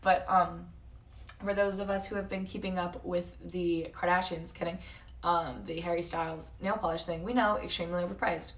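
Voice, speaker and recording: A woman's voice: on the low side, fairly even intonation, moderate pace, far from the mic, rough recording. A woman in her early twenties with a North American accent, chatting casually to livestream viewers in a rambling, slangy, self-interrupting way. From San Jose, California and Toronto, Canada. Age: early 20s.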